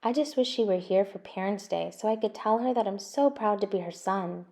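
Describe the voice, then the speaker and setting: A woman's voice: medium pitch, a little fast, mellow, lightly acted. A woman acts out a young boy cat from a children's storybook. He is earnest and a little anxious, speaking with heartfelt openness. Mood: proud, wistful.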